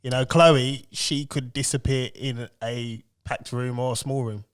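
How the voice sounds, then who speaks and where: A man's voice: mid-range voice, steady tone, measured pace, clean tone. A middle-aged man chatting on a podcast, a laid-back storyteller who laughs often. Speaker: podcast co-host. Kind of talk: casual chat.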